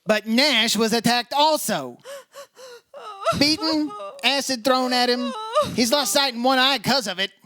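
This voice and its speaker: A man playing a brisk, insistent insurance investigator in an old-time radio detective drama, with a clipped, commanding delivery. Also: very high-pitched, noisy background. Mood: pressing, firm.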